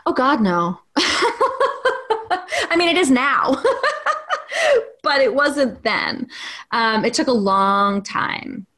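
A woman's voice: sits high, sing-song, extremely slow, long pauses, pushed and bright. A woman acting coach speaking frankly and reflectively as an interview guest, swearing casually and restarting her sentences. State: single mom. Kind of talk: candid conversational interview.

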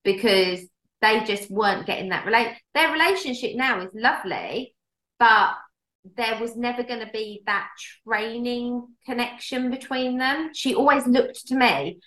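A woman's voice: a little high, measured pace, a bit bright. Chatty woman in an echoey room. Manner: chatty, laughs often, trails off and restarts. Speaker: podcast co-host and dog trainer. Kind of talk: casual chat.

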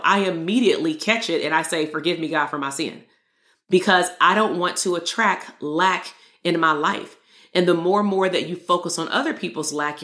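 A woman's voice: lowish voice, echoey room. A woman hosting a solo motivational podcast as a therapist coach, talking earnestly and repeating key lines for emphasis. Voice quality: loud voice.

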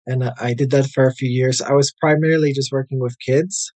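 A man's voice: mid-range voice, fairly quick, no room sound. American man, easygoing chat, dry close sound. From United States. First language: English. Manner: relaxed and chatty, many "like" and "uh" fillers. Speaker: guest, English language coach. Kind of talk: casual interview conversation.

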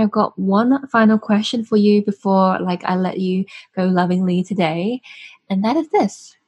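A woman's voice: on the low side, a little mellow, breathy edge. A woman hosting a podcast, gushing and affectionate, laughing often as she talks to her listeners. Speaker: podcast host. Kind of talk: warm podcast interview.

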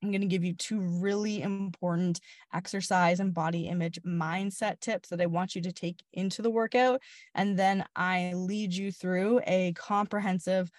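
A woman's voice: on the low side, fairly even intonation, moderate pace, darkish tone, no echo, clean studio sound. A woman podcast host and fitness coach speaking casually and directly to listeners in a friendly way. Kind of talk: casual solo podcast talk.

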